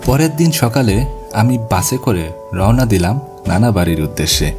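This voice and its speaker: A man's voice: lowish voice, lively intonation, moderate pace. A man reading scripted first-person ghost story narration for an animation. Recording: music bed behind the voice. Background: music.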